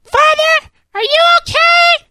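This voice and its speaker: A man voicing a small, frightened, tearful boy in squeaky falsetto for a comic storybook reading. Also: slow, pushed and bright, a little hiss or hum, full character voice. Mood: frantic.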